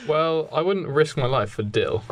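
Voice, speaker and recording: A man's voice: a little high, lively intonation, unhurried. A British man chatting casually with friends as a podcast co-host.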